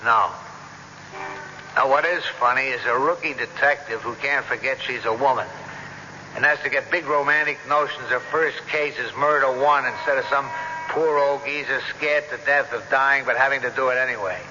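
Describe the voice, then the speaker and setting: An actor playing a gruff, world-weary police sergeant in a radio drama, brusque, wisecracking and bossy. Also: male voice, mid-range voice, steady tone, moderate pace, pushed and bright. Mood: sardonic. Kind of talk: 1970s radio drama acting. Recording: dull, noisy, reverberant 1970s radio recording.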